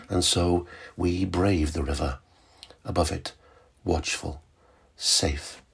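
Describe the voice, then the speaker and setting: A man recites a poem in a very low, gravelly voice. His tone is heavily husky, and he takes each line slowly and deliberately. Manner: slow, measured, dramatic recital. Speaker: library service manager. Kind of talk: poetry reading.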